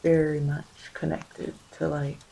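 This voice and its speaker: A woman reads tarot in a soft ASMR style. Her voice is on the low side and very mellow and dark. She circles back over phrases haltingly, offering reassurance and spiritual guidance. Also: level tone, gravelly, rough recording. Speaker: tarot reader.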